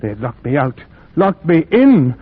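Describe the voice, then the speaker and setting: An actor playing a desperate, frightened young captive, intense and dramatic, in 1940s radio drama style. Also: male voice, a little high, very animated, normal speed, husky. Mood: horrified. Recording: dull 1940s radio recording.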